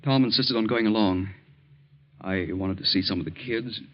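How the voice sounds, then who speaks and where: Male actor as halting young American man, deferential, apologetic, vintage radio acting, dull muffled recording. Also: lowish voice, lightly acted. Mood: sheepish. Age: young adult. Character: hesitant, apologetic young nephew, medical student.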